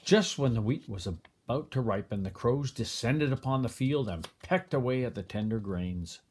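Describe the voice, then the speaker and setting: An older man reads a children's picture book aloud as a grandfather would, with expressive, deliberate phrasing. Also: mid-range voice, a little hiss or hum. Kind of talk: storybook read-aloud.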